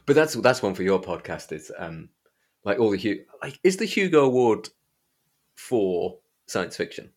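Deep-voiced man, slightly husky, chatting casually. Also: very animated, moderate pace, darkish tone. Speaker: podcast host. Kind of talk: casual book chat.

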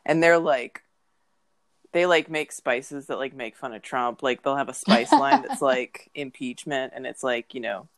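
Podcast co-host, woman, congested, low voice, dry jokes. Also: animated, a little slow. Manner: joking, wry asides. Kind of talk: casual chat.

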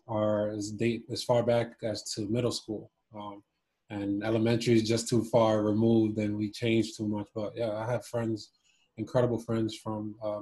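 Relaxed, even-toned young man. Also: lowish voice, slightly rough, rough recording. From Cuba and Miami. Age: 20s. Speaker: interview guest, former basketball player. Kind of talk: casual reflective interview chat.

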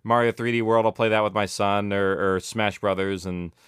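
Male interview guest, low smooth voice, dry close sound, casual rambling delivery with self-corrections. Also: normal speed, no echo. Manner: animated rambling, self-correcting, peppered with "like" and "you know". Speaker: interview guest, audio drama producer.

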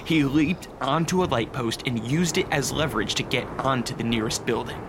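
A man's voice: mid-range voice, normal speed, noisy background. A man reading scripted narration for a radio drama as its narrator.

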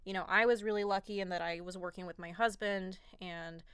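A woman's voice: mid-range voice, steady tone, fairly quick, fairly bright tone, clean tone, no room sound. Bubbly woman, youthful-sounding voice, podcast co-host, dry close sound. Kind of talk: casual podcast chat.

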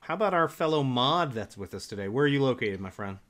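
Man, podcast host, enthusiastic, lively pitch, casual chat. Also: fast.

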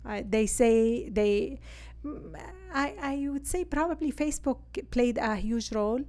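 A middle-aged woman from Syria with a Syrian Arabic accent, Arabic her first language, answering interview questions in an earnest, halting way full of fillers and restarts. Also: fairly high pitch, a little mellow. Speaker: guest, real estate agent.

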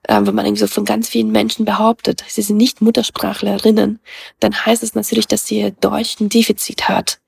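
A middle-aged woman whose first language is Russian talks in a gravelly, husky voice. Her pitch stays flat and level, and she pauses often to think. The sound is dry and close, with almost no room. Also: no echo. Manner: thoughtful, many hesitations and fillers. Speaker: guest. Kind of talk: casual conversation.

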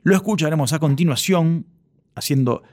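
A man's voice: a little high, moderate pace, a little mellow, no room sound. A man presenting a music radio show from a script, close to a studio microphone.

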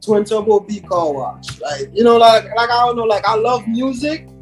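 High-pitched man chatting casually over music. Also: moderate pace. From Haiti. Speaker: guest hip hop artist. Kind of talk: casual podcast chat.

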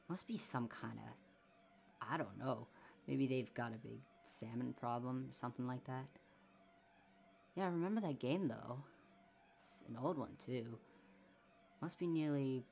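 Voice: mid-range voice, a little mellow, slight background noise. A performer playing a wistful, folksy driver who rambles and mutters to themself in an audio drama. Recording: clear music behind the voice.

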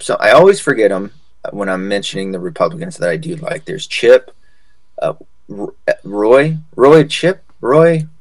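Male podcast co-host, lower register, loose and sweary conversational delivery, dry close sound. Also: dry recording. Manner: profane, colloquial, calls listener dude. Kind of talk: casual, rambling political chat and anecdote.

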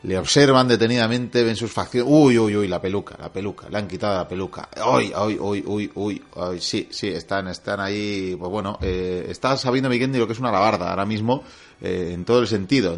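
Low-voiced radio host. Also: male voice. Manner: wry, playful banter. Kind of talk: radio show hosting and mock narration.

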